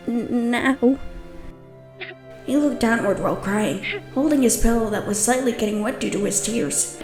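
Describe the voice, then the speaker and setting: A woman reading scripted story narration for a manga dub. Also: on the high side. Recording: roomy echo, music behind.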